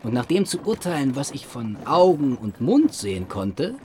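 Actor playing a conceited young gentleman with animated delivery. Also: mid-range voice, normal speed, slight background noise, natural voice. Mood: smug, playful. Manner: self-assured, theatrical, playful phrasing. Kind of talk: period literary radio drama.

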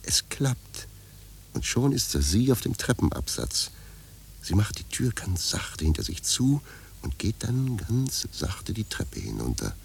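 A man reading wry scripted narration for a radio play in a gravelly voice. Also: fairly low pitch, sing-song, measured pace, slight background noise.

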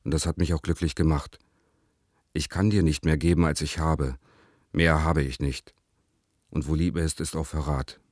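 A tender, brooding lover reading his letter for a radio play. The voice is extremely deep and sits in a dry, close space. The delivery is intimate and deliberate, weighted with melancholy. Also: fairly even intonation, darkish tone, no echo.

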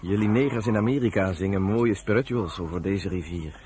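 An actor playing a steady, affectionate young farm son in a radio drama, earnest and reassuring. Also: male voice, fairly low pitch, fairly quick, lightly acted. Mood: proud, earnest. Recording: noisy old radio play with farm animal sounds.

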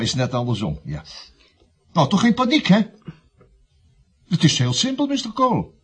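An actor playing a scheming, optimistic young estate agent in a Dutch radio comedy, stammering through glib, evasive patter. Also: male voice, on the high side, husky, full character voice. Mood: nervous, placating.

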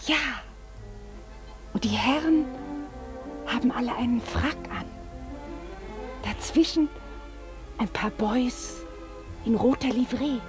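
An actress in a radio play voicing an anxious, deferential female companion, breathy and hesitant. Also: a little high, slow, pauses often, over music. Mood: hesitant, hushed. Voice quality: breathy, often near whispering. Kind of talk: German radio play acting.

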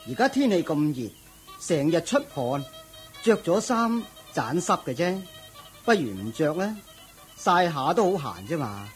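A man's voice: a little high, sing-song, measured pace, soft background music. A man playing a dutiful royal prime minister in a Cantonese audio drama, deferential to his master and dismissive of commoners. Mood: explaining.

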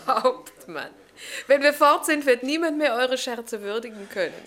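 A woman's voice: high-pitched, a little slow, a crowd in the background. Spirited, devout captive woman. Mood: amused. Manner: earnest, questioning. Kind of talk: radio drama acting.

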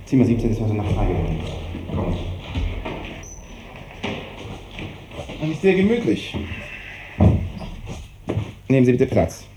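An actor playing an evasive, hesitant hospital doctor in a 1950s German radio drama, on an old noisy recording. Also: male voice, lowish voice. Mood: brisk. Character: evasive, brisk hospital doctor, uneasy with hard truths.

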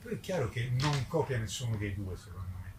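A man presenting a book as its publisher, speaking emphatically and haltingly, often repeating his words. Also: medium pitch, big pitch swings. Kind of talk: informal live book presentation. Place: echoing room at a public book event. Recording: distant mic, echoey room, background noise.

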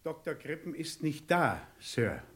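An actor playing a formal, reserved butler in a German radio crime play, deferential but unhelpful. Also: male voice, a little high, very animated, unhurried, fairly bright tone. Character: stiffly polite, unhelpful household butler.

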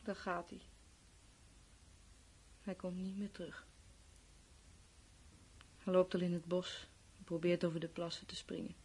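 A woman's voice: on the low side, level tone, measured pace, long pauses, a little mellow, slightly rough. A woman acting in a radio play as a weary, fearful, brooding survivor of a world war's collapse. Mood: resigned, drained.

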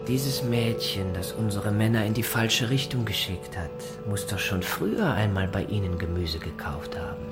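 A man playing a menacing, falsely courteous Gestapo interrogator in a radio play, speaking with slow, insinuating deliberation. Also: on the low side, lively intonation, natural voice. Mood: quietly menacing. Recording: music under the voice.

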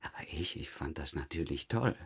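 An actor playing a calm, courteous police inspector in a naturalistic crime drama, speaking politely and with measure. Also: male voice, extremely low pitch, brisk, slightly rough, full character voice. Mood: courteous, chatty. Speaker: interrogating police detective.

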